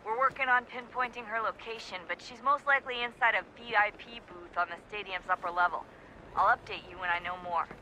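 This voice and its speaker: A brisk, practical mission handler voiced by a woman in a naturalistic game scene. She is to the point, with clear urban noise behind her. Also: mid-range voice, sharp tone, close to an everyday voice. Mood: businesslike. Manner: businesslike, casual, to the point. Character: brisk, practical female field operative guiding a mission. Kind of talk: naturalistic video game acting. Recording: noisy urban ambience behind.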